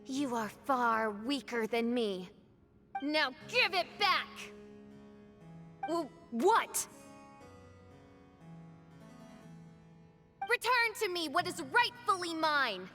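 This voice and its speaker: A proud young princess from a video game, declaiming her lines with grandiose drama. Her voice is high and forcefully bright, and she takes her time over each phrase. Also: very animated, takes it slowly, faint music behind, heavily acted. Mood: commanding. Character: proud, theatrical young princess facing her mocking dark self. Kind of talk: theatrical video game voice acting.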